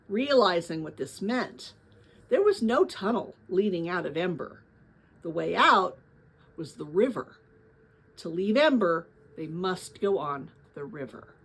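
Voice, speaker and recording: A woman reading a children's novel aloud as host, with expressive, careful phrasing. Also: medium pitch, husky. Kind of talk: dramatic storybook read-aloud.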